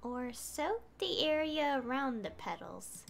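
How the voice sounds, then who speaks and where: A woman's voice: high-pitched, big pitch swings, takes it slowly, vocal fry, slight background noise. A woman streaming a game with casual commentary, thinking aloud hesitantly.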